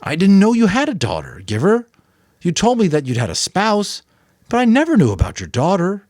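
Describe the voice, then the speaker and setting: Man playing a young boy, higher pitched, earnest, pleading, wide-eyed, storybook drama, dry close sound. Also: huge pitch swings, moderate pace, darkish tone, no echo. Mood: startled.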